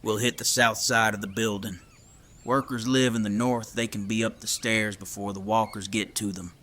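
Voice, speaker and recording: An actor playing a gruff, vengeful male survivor in an audio drama, speaking in a terse, folksy, defiant way. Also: fairly low pitch, fairly even intonation, moderate pace, pushed and bright. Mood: determined.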